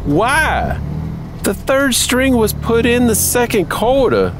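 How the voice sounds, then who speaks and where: Enthusiastic football fan played by an actor, drawn-out lively speech, street ambience. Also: medium pitch. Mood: amused. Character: enthusiastic Alabama college football fan chatting. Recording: noisy outdoor traffic ambience.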